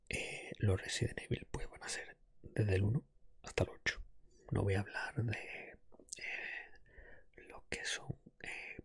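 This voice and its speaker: A man hosting an ASMR podcast, speaking in a hushed, careful way that mixes breathy whispers with soft-spoken talk, close to the microphone. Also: dry recording. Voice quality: breathy whisper with soft low voiced stretches. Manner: hushed, careful not to raise the voice.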